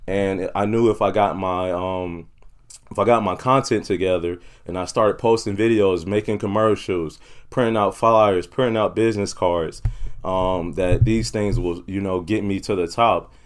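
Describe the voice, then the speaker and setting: A man with a low-pitched voice speaks as an entrepreneur being interviewed. He is talkative and conversational, padding his sentences with frequent "you know"s in a casual, unscripted way. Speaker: podcast guest, entrepreneur.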